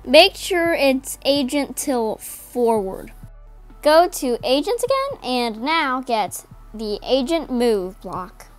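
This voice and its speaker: A woman's voice: high-pitched, animated, slow. A woman narrating a step-by-step coding tutorial in a plain, instructing voice-over. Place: voice-over over screen recording. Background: faint background music.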